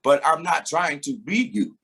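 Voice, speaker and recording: A man's voice: a little high, sing-song, unhurried, fairly bright tone, breathy edge. A middle-aged man, a singer, talking reflectively in a casual interview, often laughing and repeating words as he starts a thought.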